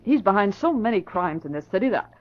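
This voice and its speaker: A woman's voice: mid-range voice, very animated, fast, natural voice. Efficient secretary played by a woman, muffled old recording. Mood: respectful. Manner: efficient, deferential. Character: prompt, polite but opinionated female office secretary. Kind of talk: 1940s radio acting. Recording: muffled vintage radio recording.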